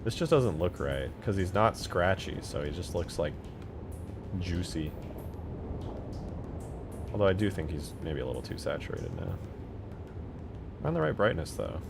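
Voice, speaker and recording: A man's voice: low voice, very animated, moderate pace, gravelly, long pauses, music in the background. A man live streaming while he works, casually thinking aloud and muttering questions to himself. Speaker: game modding live streamer.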